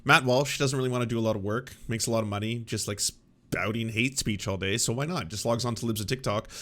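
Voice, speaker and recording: A man's voice: mid-range voice, fast, pushed and bright. A man hosting a live stream, reacting to clips with sarcastic, rambling political commentary. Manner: sarcastic, mocking, rambling with fillers.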